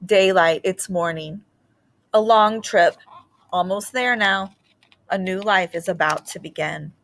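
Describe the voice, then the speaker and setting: A woman storyteller reading a picture book aloud expressively, in short deliberate phrases with dramatic pauses and the odd aside. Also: medium pitch, fairly bright tone, slight background noise.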